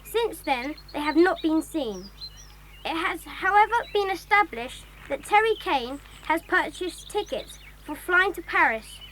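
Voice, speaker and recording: A woman voices a chirpy, friendly schoolboy in a children's adventure drama. The voice is very high and bright, keen and crisply spoken, over faint outdoor ambience. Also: expressive, a little slow, a little hiss or hum, exaggerated voice. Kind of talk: children's audio drama acting.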